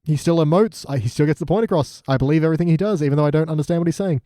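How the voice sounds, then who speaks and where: A man co-hosting a podcast, chatting casually about a show and retelling it in an animated, loose way. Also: a little high, racing, dry recording, studio quality. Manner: animated retelling with mocking paraphrased dialogue and swearing. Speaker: podcast co-host. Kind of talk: casual review chat.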